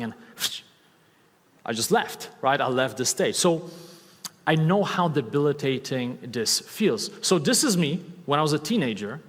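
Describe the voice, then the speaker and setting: A man in his thirties with a Polish accent speaks from a stage to a live crowd, upbeat and coaxing, throwing questions to the audience. His voice sits at an ordinary male pitch, and the big hall adds a long reverb tail. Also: a bit bright. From Poland. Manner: enthusiastic, asks the audience questions, repeats key words. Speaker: speaker giving a talk on stage.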